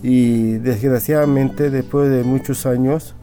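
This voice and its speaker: A middle-aged man with a Bolivian accent giving personal testimony as a guest, haltingly, often adding little tag questions. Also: mid-range voice, fairly even intonation, dark tone, soft background music. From Sucre, Bolivia. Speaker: interview guest.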